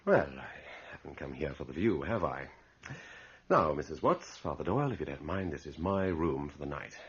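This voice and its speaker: An actor in an old-time radio drama playing a confident, skeptical gentleman with a wry, breezy manner. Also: rumbling low, animated, moderate pace, breathy edge, full character voice. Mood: wry, dismissive. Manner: wry, self-assured, breezy. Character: confident skeptical gentleman wagering on a night in a haunted room. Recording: muffled lo-fi old radio broadcast.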